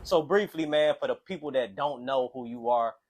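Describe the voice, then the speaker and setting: A man's voice: mid-range voice, very noisy. A man, hosting a casual interview with slangy, easygoing questions. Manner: easygoing, slangy, quick affirmations. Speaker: interviewer, host.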